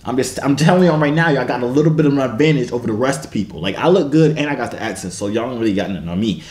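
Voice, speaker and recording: Fast-talking young man joking to his webcam. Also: mid-range voice, animated, a little mellow, roomy sound. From Jamaica and England. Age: young adult. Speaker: vlogger. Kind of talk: casual vlog chat. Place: small home gym room, indoors.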